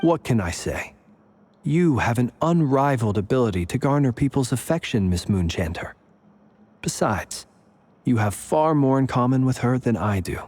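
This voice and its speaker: An actor playing a polite, slyly persuasive gentleman in a video game, speaking formally with wry courtesy. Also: medium pitch, animated, normal speed, lightly acted. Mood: wry, courteous. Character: polite, slyly persuasive, smooth-talking gentleman, adult male. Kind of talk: video game character acting.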